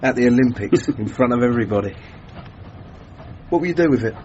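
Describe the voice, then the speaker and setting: An actor playing a plain-spoken, pugnacious boxer in a radio drama, with a blunt, cheeky manner. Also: male voice, mid-range voice, expressive, fairly quick, a little mellow, lightly acted. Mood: cheeky, wistful. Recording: muffled lo-fi recording, clearly noisy.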